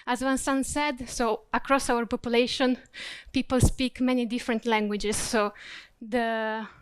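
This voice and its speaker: A woman presents at a business conference. Her voice sits a little high and sounds clear and smooth. It is recorded close and dry, with almost no room sound, and she explains things step by step, pausing to find her words. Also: no echo. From Croatia. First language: Croatian. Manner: steady, explanatory, searching for words. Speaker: corporate learning specialist presenting.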